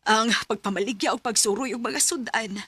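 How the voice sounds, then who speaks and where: A woman's voice: a little high, moderate pace, a bit bright, slightly rough. A woman playing a weary, ailing older mother in a Filipino radio drama. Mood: weary, resigned.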